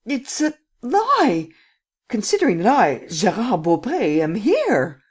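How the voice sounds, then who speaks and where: A man's voice: on the high side, very animated, moderate pace, mellow. A man voicing a terrified, despairing young poet in an audiobook, stammering and pleading in anguish. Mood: terrified disbelief. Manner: anguished, stammering, gasping pleas. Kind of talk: dramatic audiobook character acting.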